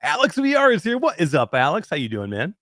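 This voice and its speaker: A man of fifty hosting a live show in casual chat, reading out greetings with excitement. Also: on the high side, fast, dry recording, studio quality. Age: 50s. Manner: excited, animated chat shout-outs. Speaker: host.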